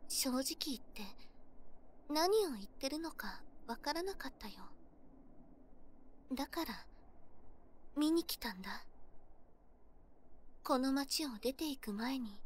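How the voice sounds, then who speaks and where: A woman's voice: sits high, husky. A woman voicing a hesitant, wistful teenage girl in an anime visual novel. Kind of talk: Japanese visual novel voice acting.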